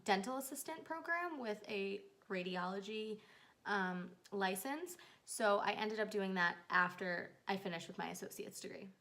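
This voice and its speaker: A woman's voice: medium pitch, fairly bright tone. A woman in her twenties from New York, chatting casually to her channel viewers in a get-to-know-me vlog, rambling with fillers. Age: 20s. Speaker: YouTuber.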